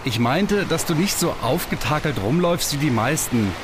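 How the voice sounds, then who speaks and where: A man's voice: a little high, expressive, normal speed, background noise, natural voice. A man playing an awkward, nervous young stage mentalist in a science-fiction radio play, stammering and hesitant. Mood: flustered. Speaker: voice actor in an audio drama.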